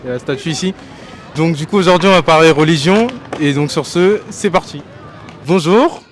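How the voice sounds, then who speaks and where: A young man hosting a casual street interview on a busy city square, relaxed and chatty with short prompts. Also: fairly high pitch, brisk. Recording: faint street traffic noise.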